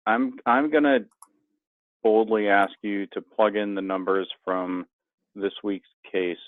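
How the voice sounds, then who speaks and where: A man's voice: lowish voice, steady tone, slow pace, no room sound. A man speaking conversationally as a student on an online class call, with dull conference-call sound. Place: video conference.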